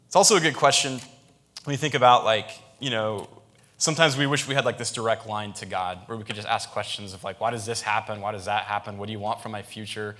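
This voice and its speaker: A man's voice: on the low side, steady tone, fast, fairly bright tone. A man, a pastor, giving a sermon in a conversational style, with frequent restarts and fillers.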